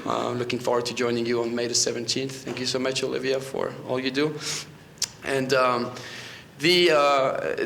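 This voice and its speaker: A man's voice: medium pitch, sharp tone, a little hiss or hum. A man in his thirties from France with a French accent, giving a history lecture at a podium microphone, conversational with frequent restarts. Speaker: guest lecturer. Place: library meeting room. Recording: echoey room.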